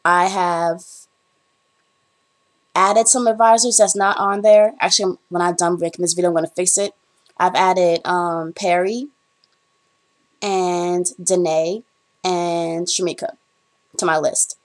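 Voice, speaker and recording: A woman's voice: on the low side, steady tone, unhurried, pauses often. A young woman from the Bahamas, a college student, chatting to camera in a rambling, storytelling way with frequent ums. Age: young adult. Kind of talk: casual chat to camera.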